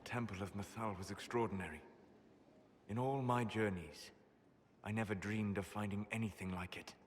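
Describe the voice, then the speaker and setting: A fairly low male voice from an actor as a scholarly wanderer in a fantasy game. He is solemn, courteous and melancholy, measuring out formal phrases. A hall-like reverb surrounds him. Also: normal speed, slight background noise, close to an everyday voice. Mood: reflective. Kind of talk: dramatic game dialogue.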